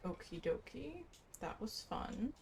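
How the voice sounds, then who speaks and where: A woman's voice: medium pitch, very animated, takes it slowly, creaky, darkish tone, a little hiss or hum. A woman playing through a game story, commenting casually with offhand asides and reading quest text aloud.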